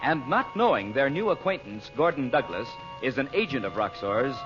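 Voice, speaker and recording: Male announcer, slightly high voice, portentous dramatic narration, old radio serial, dull recording, music behind. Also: expressive. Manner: portentous, measured.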